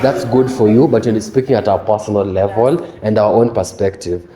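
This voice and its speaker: A man's voice: on the low side, fairly even intonation, moderate pace, roomy sound, long reverb tail, a crowd in the background. A man in his twenties with a Kenyan accent, hosting a casual panel chat and mixing Swahili slang into his English. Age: 20s. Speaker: host.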